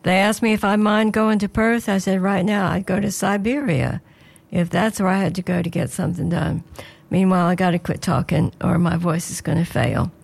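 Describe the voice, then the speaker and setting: Slightly hoarse woman presenter. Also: lowish voice, normal speed, a little mellow. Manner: earnest, prayerful, conversational. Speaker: radio presenter.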